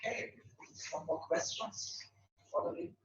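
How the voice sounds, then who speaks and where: A man's voice: unhurried, a bit bright. Casual man hosting, echoey and distant. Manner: casual, hesitant, many fillers, laughs often. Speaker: event host. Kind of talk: moderating an event. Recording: echoey, far from the mic, dull, faint noise.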